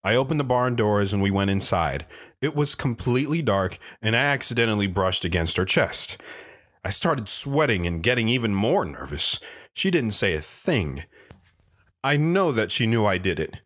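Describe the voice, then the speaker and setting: A low male voice tells a first-person story for an audio drama. The actor plays a wandering man, wistful and a little bashful as he recalls his youth. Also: sing-song, moderate pace, a bit bright. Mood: reflective.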